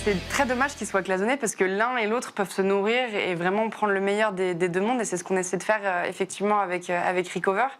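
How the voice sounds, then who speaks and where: Fast-talking young French woman. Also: mid-range voice, soft background music. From southern France. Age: 20s. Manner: fast, frequent "euh" fillers and restarts. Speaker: chemist and startup founder. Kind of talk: interview answers.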